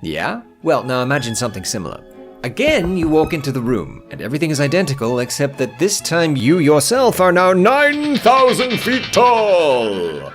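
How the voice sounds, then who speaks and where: A man delivers scripted commentary as a video essayist. His pitch moves widely as he leans on words with theatrical, sardonic emphasis. Soft music plays behind him. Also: wildly swooping pitch, moderate pace.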